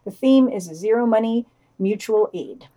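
Female podcast co-host, medium pitch, scripted news digest read aloud. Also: lively intonation, a little slow, mellow, rough recording.

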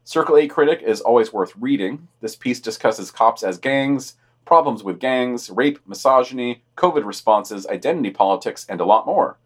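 A man's voice: mid-range voice, animated, moderate pace, few pauses. Man reading news with dry asides. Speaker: podcast host reading weekly news.

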